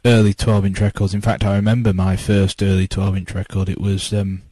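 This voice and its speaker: A man reminisces in a relaxed, colloquial way. His voice is low and mellow, and the sound is dry and close. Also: fairly quick, no room sound. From North-East England. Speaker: interviewed record collector. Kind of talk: casual reminiscing chat.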